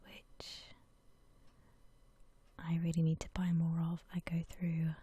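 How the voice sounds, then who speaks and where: A woman whispering softly and breathily in a gentle, unhurried ASMR voice-over, chatting casually. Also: low-pitched, completely flat delivery, breathy edge. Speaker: ASMR video creator.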